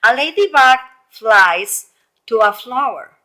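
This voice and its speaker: Slow-paced woman teacher reading to children. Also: a little high, rough recording. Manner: animated storytelling with exclamations and frequent questions to listeners; simple explanations with frequent repetition. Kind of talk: read-aloud lesson for young children.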